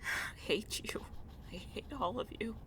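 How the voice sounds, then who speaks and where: A woman's voice: extremely low pitch, big pitch swings, normal speed. A woman playing a hot-tempered, exasperated young female student in an audio drama, curt and sarcastic. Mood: seething, miserable.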